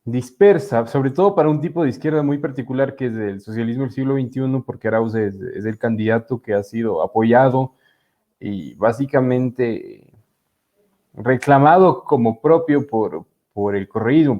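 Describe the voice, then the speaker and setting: A man's voice: medium pitch, moderate pace, mellow. A young man from Ecuador, a law student, explaining politics as an interview guest in a hesitant way with many fillers, heard over a video call. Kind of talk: casual interview talk. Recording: dull lo-fi audio.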